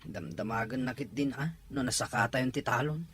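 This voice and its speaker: A man's voice: medium pitch, a little fast, a bit bright, slightly rough. A man with an Ilocano accent acting as a worried adult son in an audio drama. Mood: subdued, respectful. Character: adult son anxious about the family's pawned farmland.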